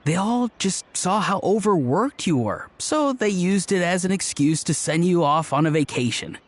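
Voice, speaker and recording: An actor playing a kindly, gently teasing male friend in a video game, with a reassuring manner. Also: high-pitched, animated, normal speed.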